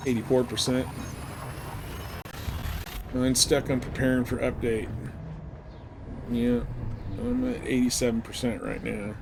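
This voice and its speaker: A man's voice: medium pitch, level tone, measured pace, long pauses, noisy background. A man giving casual, live commentary as he streams a video game.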